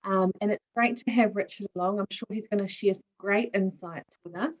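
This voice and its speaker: A woman's voice: medium pitch, fairly even intonation, a little fast. Woman host, slightly dull audio. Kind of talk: hosting a chat on a video call.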